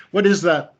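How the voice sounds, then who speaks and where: A man's voice: high voice, breathy edge. A middle-aged man leading an online creativity workshop, chatty and self-deprecating, with lots of fillers and restarts. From United States. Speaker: creativity trainer and workshop facilitator. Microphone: video call. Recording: slightly dull video call audio.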